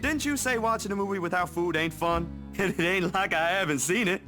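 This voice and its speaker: A woman's voice: on the low side, brisk, over music, natural voice. A woman voicing a friendly, easygoing young man in a visual novel, talking in a casual, folksy way. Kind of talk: visual novel character read-aloud.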